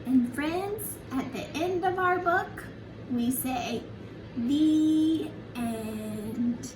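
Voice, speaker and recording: A woman with a very high, lively voice reads a picture book to little children. She is sing-song and unhurried, stopping for chatty asides to her young listeners. The recording sounds roomy and a bit distant from the microphone. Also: music in the background. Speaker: children's storyteller, early childhood educator. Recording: roomy, distant mic, faint background noise.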